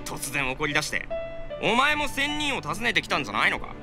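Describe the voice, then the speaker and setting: Pushy excitable young pilgrim, game style, high voice that swings all over. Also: male voice, fairly quick, over music. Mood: indignant, suspicious. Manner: fawning, brash, theatrical.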